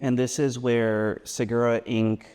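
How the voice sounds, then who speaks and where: A man's voice: mid-range voice, flat delivery, a little slow, a little mellow, smooth, in a large hall. A man hosting an interview in a guest's home. He asks loose, friendly questions, often says "like", and backs the guest up with short murmurs. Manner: friendly, uses "like" a lot, lots of backchannel mm-hmms. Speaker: podcast host. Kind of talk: casual interview.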